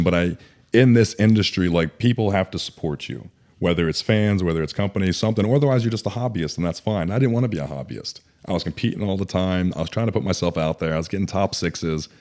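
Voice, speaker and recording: Deep-voiced man telling a candid story. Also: darkish tone. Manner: candid, rambling with many likes and restarts. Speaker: bodybuilder guest. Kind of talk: casual podcast storytelling.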